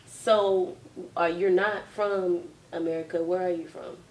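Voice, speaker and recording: A woman interviewing an actor in a relaxed way, often affirming with "mm-hmm". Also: on the low side, measured pace. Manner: friendly, affirming with frequent "mm-hmm". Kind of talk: casual interview. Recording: distant mic.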